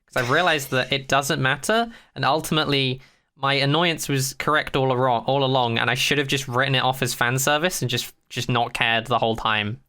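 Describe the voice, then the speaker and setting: Podcast co-host, mid-pitched, clear and bright; blunt, opinionated, swears freely in loose conversation. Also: fairly even intonation, brisk. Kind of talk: casual chat.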